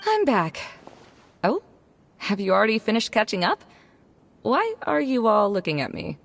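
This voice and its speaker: A woman's voice: medium pitch, huge pitch swings, moderate pace, creaky, slight background noise. An actress playing an earnest, reflective young scholar woman in an anime game. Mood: businesslike. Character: wistful, self-doubting young scholar woman running a fair.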